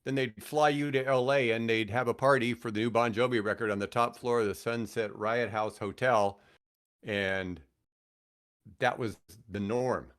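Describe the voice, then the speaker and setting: A man's voice: medium pitch, steady tone, moderate pace, fairly bright tone, clear, resonant tone. A man in his sixties telling casual anecdotes in a jokey, self-mocking way, slipping into acted-out bits of dialogue. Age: 60s. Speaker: podcast guest, retired radio host.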